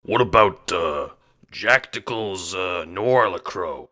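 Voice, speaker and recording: A man voicing a gruff, stern yet bumbling gangster enforcer, sometimes faltering over his words. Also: low voice, animated, slow pace, pushed and bright, exaggerated voice. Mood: coaxing, faltering.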